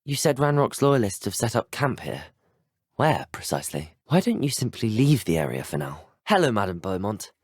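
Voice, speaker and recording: A man's voice: fairly low pitch, sing-song, normal speed, breathy edge, dry recording, studio quality. A young man with a British accent voicing a courteous, earnest teenage wizard in a video game, well-spoken and formal. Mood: earnest, composed. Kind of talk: scripted video game dialogue.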